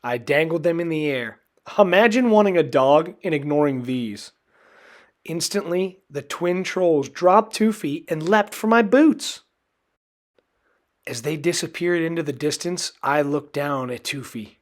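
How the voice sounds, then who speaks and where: A man reading a children's storybook aloud in an expressive, dramatic way. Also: on the high side, unhurried. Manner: expressive, dramatic delivery with acted character voices. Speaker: storybook reader.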